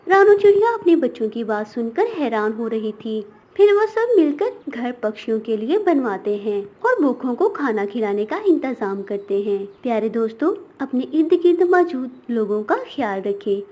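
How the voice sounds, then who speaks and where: A woman tells a children's story as scripted narration. Her voice is mellow, fairly high, with lively intonation. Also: moderate pace, few pauses.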